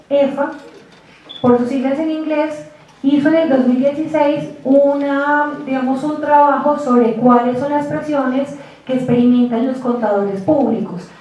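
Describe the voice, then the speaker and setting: Lady teaching a class, high voice, chatty, far off in a big echoey room. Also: dull audio, slight background noise. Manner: lively, chatty, asks the class rhetorical questions. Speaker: university lecturer. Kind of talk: classroom lecture. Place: lecture room. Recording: echoey hall, distant mic.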